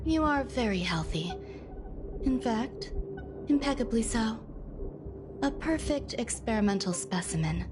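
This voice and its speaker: Game role: soft-spoken, curious woman scientist, light high voice, composed and precise, over prominent music. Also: lively intonation. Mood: detached, intrigued. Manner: measured, gentle, detached, formal phrasing. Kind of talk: video game character dialogue.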